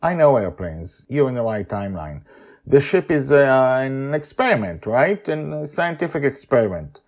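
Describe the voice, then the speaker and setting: A man voicing a calm young man who reassures a frightened stranger, in a storybook reading. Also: mid-range voice, normal speed, pitched above the performer's own voice. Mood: reassuring. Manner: reassuring, coaxing.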